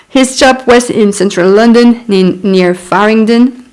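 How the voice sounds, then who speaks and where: French-native woman in her 30s reading her own book, slow and easy, chatting in between. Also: a little high, a little mellow, low-quality recording. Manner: unhurried reading broken by chatty asides and little laughs. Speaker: author. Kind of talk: reading her own memoir aloud with comments.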